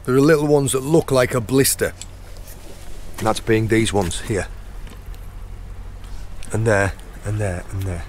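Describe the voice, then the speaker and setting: Croaky middle-aged man outdoors. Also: on the low side, fairly even intonation, measured pace, long pauses, a little hiss or hum. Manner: chatty, points things out as he goes. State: hoarse, croaky sore throat. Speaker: channel host and foraging guide. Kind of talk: casual outdoor explaining while foraging. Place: outdoors on a rocky shore in the rain.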